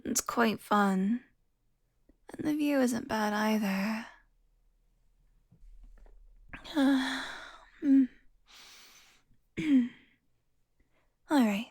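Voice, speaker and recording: A seductive vampire, acted by a woman, speaking close and intimately to a single listener. Her fairly high voice moves slowly and often turns breathy and whispery. She teases smugly, with a tender streak toward her prey, in the manner of an audio roleplay. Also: darkish tone, heavily acted. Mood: sultry teasing. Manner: breathy whispers, slow sultry teasing.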